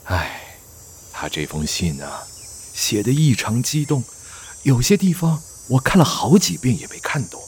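A man's voice: mid-range voice, huge pitch swings, a little slow, breathy edge, full character voice. A man playing a grave middle-aged aristocrat in a radio drama, with solemn, theatrical delivery. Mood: grave, troubled. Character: grave middle-aged aristocratic father, reading a grieving letter. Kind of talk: Mandarin radio drama acting.

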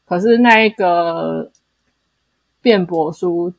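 A woman's voice: on the low side, slow, creaky, dry recording. A woman with a Taiwanese Mandarin accent co-hosting a casual book discussion, explaining things hesitantly and often correcting herself. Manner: hesitant, self-correcting explanations with many repeated affirmations. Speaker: podcast co-host.

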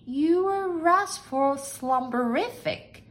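A woman's voice: high voice, sing-song, measured pace, voice pushed up. A woman voicing an eager, polite, plucky housekeeper in a storybook, with a chirpy manner. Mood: doubtful. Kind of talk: storybook character acting.

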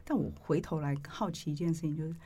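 A woman with a husky, creaky voice and a Taiwanese Mandarin accent, hosting a conversational interview. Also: low voice, fast, mellow. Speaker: interviewer, host.

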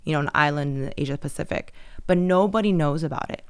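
A woman's voice: low voice, quick pace, smooth, no echo, studio quality. A woman from Taiwan, a brand co-founder, chatting in an interview with many fillers and restarts. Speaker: business owner guest. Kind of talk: casual interview chat.

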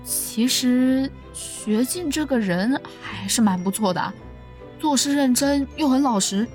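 A woman's voice: high voice, lively intonation, a little slow, darkish tone, faint music behind. A woman acting a friendly, curious young office colleague in a radio drama, chatty and coaxing. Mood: wistful, tactful.

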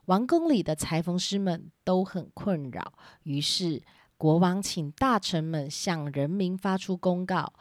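A woman's voice: on the low side, a little mellow, dry recording, studio quality. A woman narrating a scripted children's story as its storyteller. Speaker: storyteller and host.

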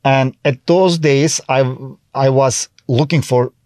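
A man's voice: medium pitch, takes it slowly, a little mellow, no echo. Turkish-accented male guest, middle-aged, chatty storyteller, close dry mic. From Turkey. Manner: chatty, restarts often, acts out his own past remarks. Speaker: guest, TV host and comedian. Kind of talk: casual interview chat.

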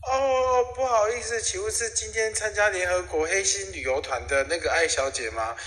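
A man's voice: sits high, normal speed, a bit bright. A man playing a put-upon young tour guide in an audio drama, polite but flustered and reluctant. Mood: wary politeness. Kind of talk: amateur audio drama acting. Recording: echoey hall sound.

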